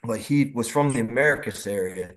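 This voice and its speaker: A man reminiscing casually as a guest, rambling a little with halting, repeated phrases. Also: medium pitch. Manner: halting, repetitive, rambling delivery. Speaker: former baseball team front-office staffer, guest.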